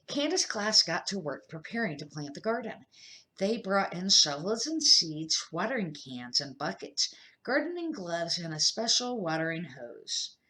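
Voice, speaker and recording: An older woman, a grandmother, reading a children's picture book aloud in a measured, careful amateur style with short phrases. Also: on the low side, big pitch swings, sharp tone, rough recording.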